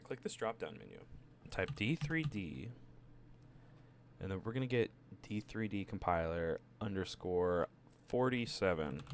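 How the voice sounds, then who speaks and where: A man giving a casual step-by-step software tutorial, sick with a cough. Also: low voice, a little hiss or hum. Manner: relaxed, chatty, step-by-step instructions. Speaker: tutorial maker. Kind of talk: casual screen-recorded tech tutorial.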